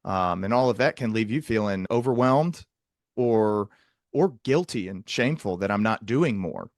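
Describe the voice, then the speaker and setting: Low-voiced man hosting, dry close sound. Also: lively intonation, moderate pace, dry recording. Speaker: host, psychiatrist interviewing a guest. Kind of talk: conversational interview with long explanations.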